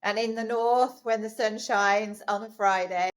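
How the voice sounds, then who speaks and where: A woman's voice: mid-range voice, fairly even intonation, measured pace. A woman co-hosting a podcast, opening with light and friendly small talk. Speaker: podcast co-host. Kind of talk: casual chat.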